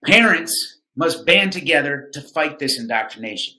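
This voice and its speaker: A man's voice: a little high, expressive, moderate pace, sharp tone, reverberant, poor audio. A man playing an indignant, combative father delivering his opinion piece emphatically and rhetorically. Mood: rallying. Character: indignant, combative conservative father writing against school program.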